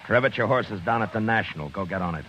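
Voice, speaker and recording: Male actor as frontier lawman for radio western: deep, expressive, commanding, short-fused. Noisy vintage recording. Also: fast talker, close to an everyday voice. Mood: stern. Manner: terse, commanding. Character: grim, hot-tempered Old West marshal. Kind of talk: 1950s radio western acting.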